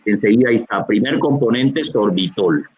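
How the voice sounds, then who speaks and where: A gastroenterologist from Colombia with a Barranquilla accent, explaining a medical answer over a video call, with frequent fillers and restarts. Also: male voice, on the low side, brisk, darkish tone, husky. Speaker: gastroenterologist lecturer. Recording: dull lo-fi video call audio.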